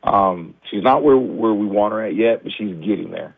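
Man, coach, slightly raspy after the flu, tired, fillers and restarts, dry phone-line sound. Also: fairly low pitch, moderate pace, dry recording. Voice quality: a little raspy. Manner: candid, many restarts and fillers. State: recovering from flu, low energy. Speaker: high school basketball coach. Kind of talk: phone-in radio interview.

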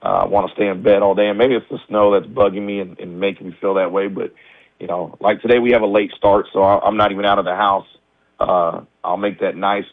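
A man, a high school basketball coach, talking candidly in a radio interview with lots of restarts; his voice is a little raspy as he recovers from the flu. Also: fairly even intonation, fairly quick, no room sound. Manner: candid, many restarts and fillers. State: recovering from flu, low energy. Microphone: phone line. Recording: tinny dry phone line.

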